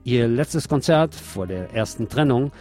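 Guy hosting radio, reading out an article, medium pitch voice, bit of music behind. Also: animated, a little slow, mellow. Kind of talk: scripted narration, reading an article aloud.

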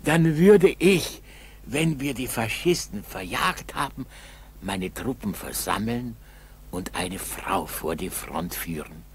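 A kindly old village grandfather in a vintage radio play. His voice is very husky and breathy, swinging widely up and down as he chats and coaxes in a folksy, halting way. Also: mid-range voice, animated, heavily acted. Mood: proud, moved. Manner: folksy, coaxing, halting storytelling. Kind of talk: 1960s German school radio play acting.

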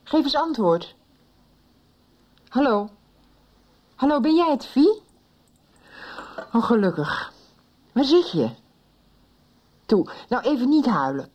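Anxious, caring woman, swooping high voice. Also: big pitch swings, takes it slowly, pauses often, a little mellow, full character voice. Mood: relieved, soothing. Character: anxious, caring woman urging a caller to talk. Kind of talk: 1990s radio soap acting.

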